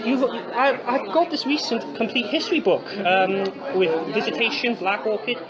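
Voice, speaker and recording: A man's voice: very high voice, normal speed, muffled recording. A man, interviewing informally in an eager, chatty way and often repeating himself. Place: busy fan convention. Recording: loud crowd chatter, convention hall noise.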